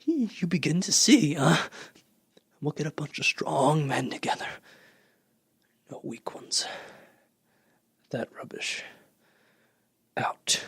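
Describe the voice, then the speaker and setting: Radio play role, male actor: fanatical soldier survivor, husky voice, intense and scheming, recorded dry and close. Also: a little high, sing-song, slow pace, pauses often, dry recording, in a whisper, full character voice. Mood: scheming, urgent. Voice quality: husky, gravelly. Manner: intense scheming, folksy slang, swelling from hushed to loud. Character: fierce power-hungry artilleryman survivor plotting to rule the world.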